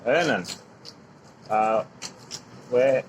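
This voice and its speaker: Male street interviewer, inquisitive and repetitive, mid pitch, light ambience. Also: slight background noise. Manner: curious, repeats words, quick follow-up questions.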